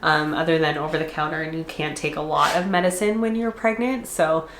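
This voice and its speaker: A woman vlogger with a congested, stuffed-up voice, chatting casually to her camera and laughing often. Her voice sits on the low side for a woman. The sound bounces around an echoey room. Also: steady tone, a little fast, a bit bright, slight background noise. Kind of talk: casual chat.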